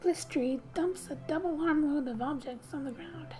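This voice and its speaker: Girl doing soft spoken asmr gaming, high mellow voice, kinda whispery n playful, music in the back. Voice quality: soft, breathy, often dropping to a whisper. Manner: gentle, unhurried, playful asides. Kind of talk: soft-spoken ASMR game narration, reading text aloud with asides.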